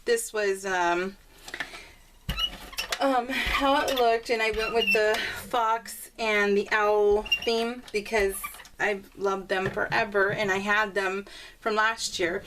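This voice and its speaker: Female crafting vlogger, medium pitch, giggly and informal, rambling chat straight to viewers. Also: fairly bright tone, slight background noise. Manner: bubbly, giggly, chatty.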